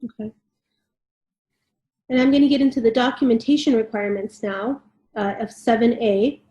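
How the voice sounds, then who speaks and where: A woman's voice: on the high side, normal speed, warm tone, muffled recording. A woman co-hosting, reading a formal standard aloud and discussing it informally. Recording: echoey room.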